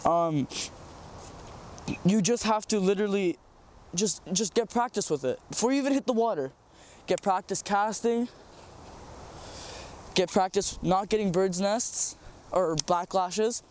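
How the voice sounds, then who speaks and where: A man's voice: high voice, measured pace, long pauses, darkish tone, slight background noise. A young man with a stuffy nose from a cold, rambling through a casual fishing how-to with lots of "um"s and repeats. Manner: rambling, repeats words, says um often. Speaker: fishing tutorial host. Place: outdoors by a small farm pond.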